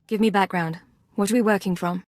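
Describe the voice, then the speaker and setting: A woman playing a dutiful, businesslike imperial agent in a video game, speaking crisply and with composure. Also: mid-range voice, steady tone, brisk, a little mellow, dry recording, clean studio sound, natural voice. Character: brisk, dutiful young female imperial agent. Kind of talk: scripted video game dialogue.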